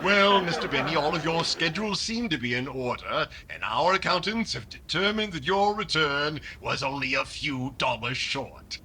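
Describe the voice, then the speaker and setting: A man's voice: a little high, lively intonation, normal speed, hardly any pauses, bright, forceful tone. Hesitant, formal tax inspector male actor, radio comedy straight man, noisy recording. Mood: reassuring, formal. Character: polite, probing male tax official, mildly baffled. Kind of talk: classic radio sitcom straight-man acting. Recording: noisy recording with room ambience.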